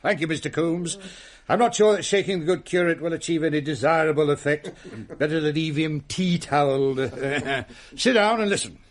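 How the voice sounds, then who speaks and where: A man's voice: on the high side, normal speed, natural voice. An actor playing a fussy, pompous male host of a ghost-story supper in a radio play, formal, ornate and easily exasperated. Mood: storytelling. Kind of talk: period radio drama acting.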